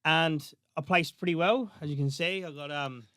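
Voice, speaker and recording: A bodybuilder, talking casually in an interview, laid-back with frequent fillers. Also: male voice, fairly high pitch, moderate pace, sharp tone, no echo, clean studio sound. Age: 30s. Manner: laid-back, lots of fillers like "you know" and "man".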